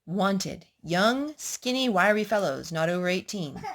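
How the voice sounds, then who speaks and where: A woman's voice: mid-range voice, lively intonation, unhurried. A woman hosting a children's storytime, reading picture books aloud and asking little prompting questions in a child-directed way.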